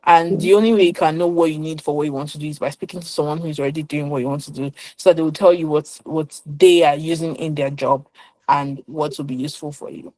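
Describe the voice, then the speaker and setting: A woman's voice: sits low, fairly even intonation, quick pace, dark tone, no echo, poor audio. A young woman with a Nigerian accent, a guest in an interview, explaining things earnestly and often restarting her sentences. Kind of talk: conversational interview.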